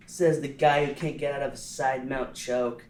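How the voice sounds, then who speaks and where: A man's voice: medium pitch, flat delivery, moderate pace, a little mellow, pitched above the performer's own voice, lightly acted. A man reading the part of a cocky, playful teenage boy with a teasing, yelping delivery.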